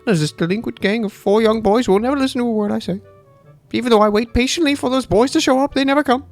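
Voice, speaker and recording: A man voicing a flashy female schoolteacher from a game, reading her lines in a high, theatrical, sing-song voice. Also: fast, soft background music. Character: flashy middle-aged female schoolteacher, sweet and pleading. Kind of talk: reading game dialogue aloud in character.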